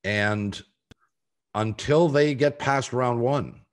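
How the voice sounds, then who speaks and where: An older man hosting a sports podcast from a home room, giving opinionated talk in a deliberate, halting way with pointed pauses. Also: medium pitch. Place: home room on a video call.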